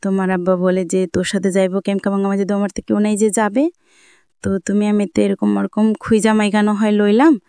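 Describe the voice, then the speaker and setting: Young woman, Dhaka-area colloquial accent, clear smooth voice, dry sound, rambling personal storytelling. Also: mid-range voice, level tone, brisk, dark tone, no echo. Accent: Bangladeshi Bengali, colloquial Dhaka-area dialect. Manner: plain-spoken, repetitive, rambling. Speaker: guest telling own life story.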